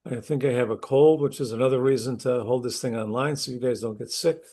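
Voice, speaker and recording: A middle-aged man, a university instructor, opening an online class session while losing his voice from a cold, talking conversationally and clearing his throat. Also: medium pitch, fast, mellow. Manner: conversational, clears throat often, restarts sentences. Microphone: computer mic on a video call.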